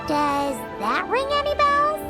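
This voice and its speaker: A tiny flying fairy girl from a video game, extremely high-pitched and childlike. The voice is chirpy, blunt and excitable, leaping up and down in pitch. Music plays clearly behind it. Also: slow pace, exaggerated voice. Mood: eager.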